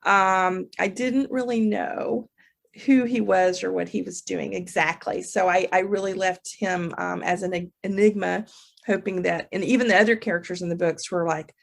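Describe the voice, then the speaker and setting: A woman's voice: fairly low pitch, steady tone, normal speed, vocal fry. A woman novelist chatting as a podcast guest, often correcting herself mid-sentence. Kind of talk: casual interview chat.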